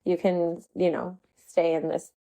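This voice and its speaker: Mellow low-voiced woman host. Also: level tone, measured pace, vocal fry, poor audio. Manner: supportive, asks follow-up questions. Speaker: podcast host. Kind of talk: casual interview.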